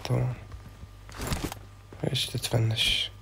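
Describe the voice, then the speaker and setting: A man's voice: medium pitch, monotone, slow, creaky, fairly bright tone, a little hiss or hum. A young man with an Iraqi Arabic accent, hosting a gaming channel and chatting casually while a little sick. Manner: chatty, loose, thinking aloud. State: slightly sick. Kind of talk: casual gameplay commentary.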